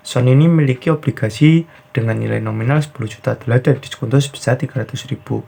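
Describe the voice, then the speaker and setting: A young man speaks in a heavily fried, husky voice. His pace is quick and his pitch average for a man. He delivers a scripted student presentation point by point. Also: a little mellow. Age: young adult.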